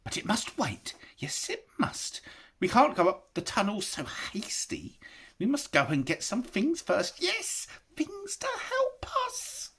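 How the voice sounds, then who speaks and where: A man voicing a wretched, whispering male cave creature who hisses, wheedles and talks to himself in a high, breathy voice. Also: wildly swooping pitch, slow pace, a bit bright, full character voice. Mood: hissing. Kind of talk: audiobook character voice.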